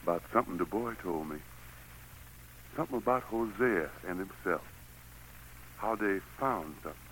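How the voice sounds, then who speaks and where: An actor playing the Lord as a solemn, gentle, musing God in a 1940s radio drama. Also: male voice, low voice, animated. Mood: solemn, pensive. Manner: grave, musing, slow to answer. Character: the Lord, solemn, gentle, troubled male God in human form. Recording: noisy vintage 1940s radio broadcast.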